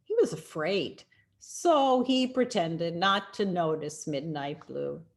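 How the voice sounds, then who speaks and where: Slow, expressive woman reading a children's story. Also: fairly low pitch. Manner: expressive, deliberate storytelling with dramatic pauses. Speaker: storyteller, rabbi. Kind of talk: children's picture-book read-aloud.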